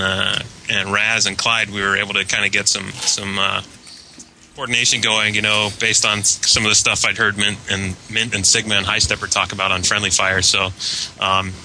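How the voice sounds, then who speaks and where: A man chatting casually on a podcast about online gaming, telling things in a rambling, halting way. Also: lowish voice, sharp tone, slight background noise, poor audio. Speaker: podcast panelist.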